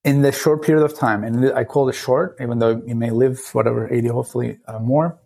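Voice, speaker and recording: A man in his late thirties from Turkey with a Turkish accent, a podcast guest talking thoughtfully and pausing often to search for words. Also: medium pitch, fairly even intonation, creaky, warm tone. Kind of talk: casual interview talk.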